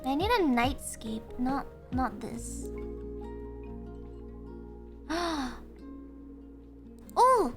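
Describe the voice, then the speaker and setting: High-voiced chatty woman streamer. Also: drawn-out words, pauses often, music in the background. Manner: chatty, excitable, gasps and laughs often, thinks aloud. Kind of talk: casual livestream chat.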